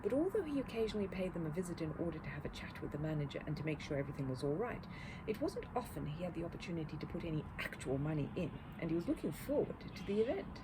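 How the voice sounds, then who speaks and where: Woman reading a kids' audiobook, very lively intonation, bit on the low side, noisy background. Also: racing. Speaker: storyteller. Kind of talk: expressive children's audiobook narration. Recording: clear background noise.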